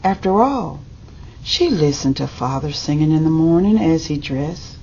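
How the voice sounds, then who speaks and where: A woman reading a children's picture book aloud in a measured, deliberate way, as a storybook reader. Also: low-pitched, expressive, dark tone. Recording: dull lo-fi audio with background noise.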